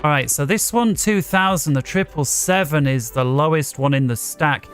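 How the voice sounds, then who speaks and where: A man's voice: a little high, normal speed, fairly bright tone, faint music behind. A man giving casual gameplay commentary as a gaming video host, thinking aloud as he plays. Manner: thinking aloud while playing.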